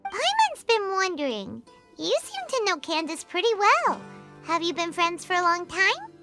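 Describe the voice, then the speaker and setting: A woman voicing a small, bubbly, curious childlike fairy companion with a squeaky voice in a video game. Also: huge pitch swings, normal speed, sharp tone, exaggerated voice. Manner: refers to self in third person, exclaiming. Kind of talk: animated game character acting. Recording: music playing behind the voice.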